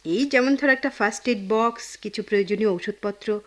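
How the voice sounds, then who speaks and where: A woman with a Bangladeshi accent playing a tender, conflicted young woman in a radio drama, speaking haltingly and repeating words when moved. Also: on the high side, natural voice. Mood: casual, easygoing. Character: Keya, tender conflicted young woman haunted by lost love. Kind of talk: Bengali radio drama acting.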